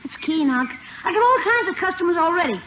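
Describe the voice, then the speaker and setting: Squeaky, eager radio schoolboy. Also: very animated, quick pace. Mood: eager, salesmanlike. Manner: eager, slangy, cheeky. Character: eager, fast-talking schoolboy nephew, cheeky entrepreneur. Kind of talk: 1950s radio sitcom acting. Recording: muffled, noisy 1950 radio recording.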